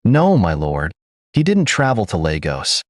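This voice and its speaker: A man's voice: sits low, huge pitch swings, measured pace, no echo, clean studio sound, full character voice. A man voicing a patient older queen in a read folk tale, explaining matters to her husband. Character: calm, wise older queen, gently teasing.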